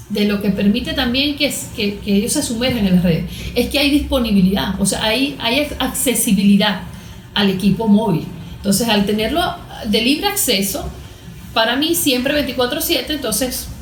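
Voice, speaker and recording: A woman with a Venezuelan accent explaining as an expert guest in a conversational interview, didactic, posing questions and answering them. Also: on the high side. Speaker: guest expert, cognitive therapist. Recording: echoey room.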